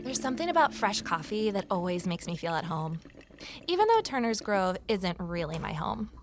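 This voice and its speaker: A woman's voice: medium pitch, big pitch swings, normal speed, music in the background. A woman playing a plucky, wry young former journalist in an audio drama, speaking conversationally. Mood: wry, reflective. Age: young adult. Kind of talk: first-person audio drama narration and acting.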